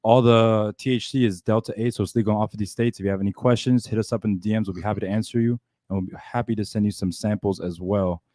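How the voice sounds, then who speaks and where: A man quickly reading a sponsor shout-out. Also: on the low side, steady tone, dry recording, clean studio sound.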